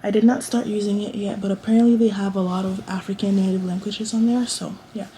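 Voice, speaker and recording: A woman's voice: medium pitch, steady tone, fast, dark tone, slight background noise, poor audio. A woman in her late teens chatting casually to camera in a college dorm room, rambling with lots of restarts and fillers. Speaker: vlogger.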